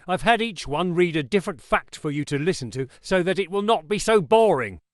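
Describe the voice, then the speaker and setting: Male tech host, mid-high voice, easygoing delivery, dead-dry booth sound. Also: animated, a little fast, dry recording. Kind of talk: casual tutorial narration.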